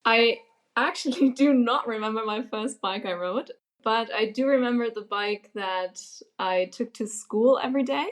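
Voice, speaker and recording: A young woman from Germany, a podcast guest answering in a relaxed way, laughing easily and using many fillers. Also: a little high, moderate pace. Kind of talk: casual interview answers.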